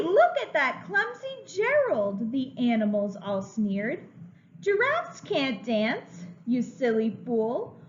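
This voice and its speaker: A preschool teacher reading a picture book aloud, with lively, expressive storytelling for small children. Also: female voice, sits high. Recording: dull lo-fi sound with faint room noise.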